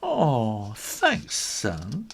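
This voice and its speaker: A man's voice: huge pitch swings, very slow, creaky, a little hiss or hum, full character voice. A man voicing a fond, fussing mother cat in a fluting voice for a children's story. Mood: pleased. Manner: high, fluting.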